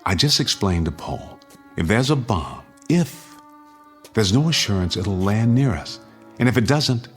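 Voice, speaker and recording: A man's voice: lowish voice, expressive, measured pace, soft background music. An actor playing a stern, anguished middle-aged American family man in a vintage radio drama, firm and earnest. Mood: urgent, insistent. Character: stern, anguished family man guarding his fallout shelter.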